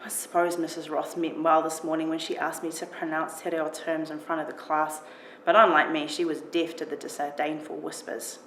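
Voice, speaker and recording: A woman giving an expressive reading of her own first-person short story. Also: deep voice, normal speed. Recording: roomy echo with clear background noise.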